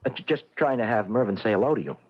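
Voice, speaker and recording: A man's voice: mid-range voice, expressive, rapid-fire, close to an everyday voice. An actor playing a timid, sheepish working-class husband in an old-time radio comedy drama, hesitant and stammering as he tries to placate. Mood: sheepish, flustered. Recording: dull lo-fi old radio recording.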